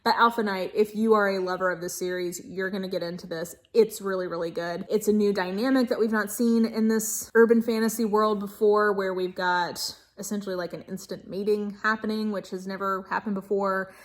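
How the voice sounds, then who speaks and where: A woman's voice: mid-range voice, fairly even intonation, a little fast, few pauses. A woman giving a casual video book review in a gushing, emphatic manner, stretching key words for stress. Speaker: book reviewer and channel host.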